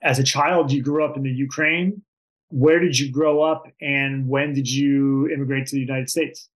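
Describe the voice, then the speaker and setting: A man's voice: medium pitch, fairly even intonation, normal speed, low-quality recording. A man interviewing a guest, asking thoughtful, probing questions.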